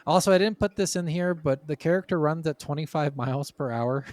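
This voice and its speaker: A man's voice: fairly high pitch, a little fast, darkish tone, no room sound. A man co-hosting a casual gaming podcast, chatting bluntly and swearing freely. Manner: blunt, profane. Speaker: podcast co-host.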